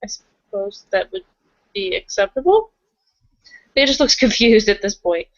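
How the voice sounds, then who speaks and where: A woman's voice: mid-range voice, very animated, a little slow, no echo, muffled recording. A woman playing in an improvised tabletop roleplay, speaking in character and narrating in a casual, understated way. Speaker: roleplay player.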